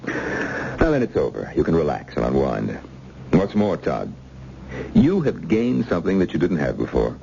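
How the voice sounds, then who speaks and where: An actor playing an assured male official in a radio drama, speaking in a gravelly, authoritative and emphatic way. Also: extremely low pitch, wildly swooping pitch, normal speed, long pauses, dark tone, heavily acted. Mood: assured, approving. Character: assured male official running a secret endurance experiment. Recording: muffled, faintly noisy old radio recording.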